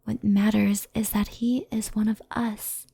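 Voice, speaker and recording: A woman voicing a stern, composed fox-spirit in an ASMR roleplay, speaking softly in a measured, formal, admonishing way. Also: medium pitch, level tone, no echo. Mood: calm, explaining. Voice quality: breathy, soft. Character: stern, composed female fox-spirit yokai, cautious and scolding.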